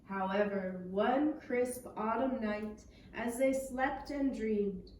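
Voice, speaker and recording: A woman narrating a storybook tale for young children as a puppet show storyteller, with measured, deliberate phrasing. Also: on the high side, a little mellow. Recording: echoey, noisy room, distant mic.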